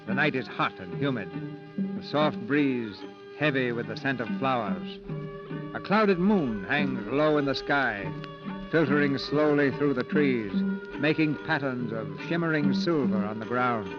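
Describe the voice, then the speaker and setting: A muffled vintage radio broadcast with faint music behind. A man with a medium-pitched voice hosts and narrates formally, polished and declamatory in 1940s style. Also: lively intonation, a little slow. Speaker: radio theater host.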